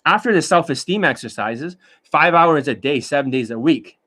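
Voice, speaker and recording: A man lecturing as a dating coach in a blunt, profane rant, swinging between loud outbursts and low muttering. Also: expressive, moderate pace. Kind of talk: ranting monologue lecture.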